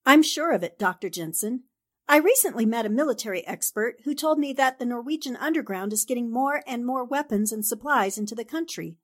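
A woman's voice: a little high, animated, fairly quick. A woman acting an earnest, sympathetic young newspaper reporter in old-time radio drama style. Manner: earnest, sincere.

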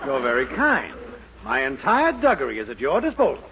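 A man playing an exasperated, sarcastic middle-aged boss with drawn-out emphasis in a 1940s radio sitcom. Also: medium pitch, wildly swooping pitch, pushed and bright, some chatter behind, a little hiss or hum. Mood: dry, sarcastic. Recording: dull old radio recording.

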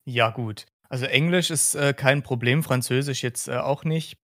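A man's voice: mid-range voice, normal speed, a bit bright, no echo, clean studio sound. A man from Hesse in Germany chats casually as a podcast host, explaining things in a rambling way.

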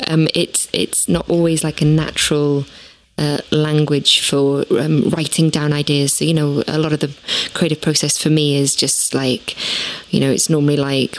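Woman lyricist, low voice, thinks aloud with lots of ums, interview. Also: low-quality recording. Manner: thoughtful, halting, many fillers, casual swearing. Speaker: musician, band lyricist. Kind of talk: interview answers.

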